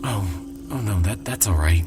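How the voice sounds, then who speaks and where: A man's voice: on the low side, lively intonation, moderate pace, loud music behind the voice, natural voice. An actor playing a nervous, polite male traveller in an audio drama, hesitant and anxious.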